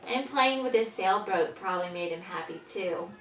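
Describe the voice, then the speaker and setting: A woman teaching young children, patiently explaining in simple words and pausing after questions, as in a story lesson. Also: mid-range voice, lively intonation, a bit bright. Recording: echoey room, distant mic, faint noise.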